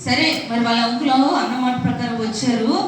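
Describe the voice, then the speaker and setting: A woman with a high voice hosts a children's puppet show, teacherly and full of questions. She repeats words for the children, heard at a distance in a very echoey, noisy hall. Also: fairly even intonation, quick pace. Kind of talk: animated storytelling for children. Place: echoey church hall, far from mic.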